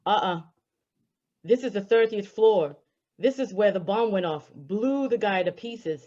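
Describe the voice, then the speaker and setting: A woman's voice: medium pitch, lively intonation, a little slow, dark tone, close to an everyday voice. Muffled, plain-spoken police officer played by a woman. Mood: matter-of-fact. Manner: matter-of-fact. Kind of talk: old-time radio drama acting.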